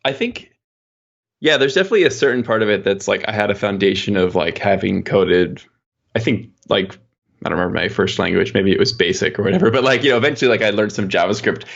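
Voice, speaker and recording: A man's voice: lowish voice, fast talker, gravelly, a bit bright, lo-fi sound. A man chatting casually as an interview guest, explaining his work as an engineer in a rambling, informal way. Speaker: podcast guest, software engineer.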